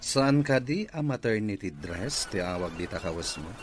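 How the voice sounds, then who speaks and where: A man's voice: on the low side, animated, moderate pace, natural voice. A man acting a blunt, teasing company boss in a radio comedy drama, with an Ilocano accent. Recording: clear background noise.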